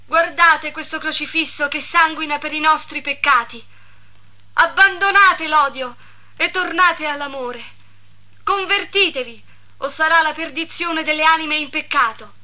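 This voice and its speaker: A woman's voice: sits high, a little slow, bright, forceful tone, exaggerated voice, poor audio. A woman playing a pious young saintly girl in a 1950s religious cartoon, with a reverent, theatrical delivery. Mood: fervent preaching. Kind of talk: 1950s Italian religious dramatization acting.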